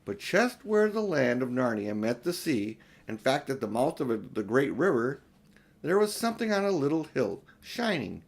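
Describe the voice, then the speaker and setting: An older man reads a children's storybook aloud. His voice sits at a middle pitch, and his narration is plain and homely, like an amateur audiobook. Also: very animated, moderate pace, vocal fry, husky.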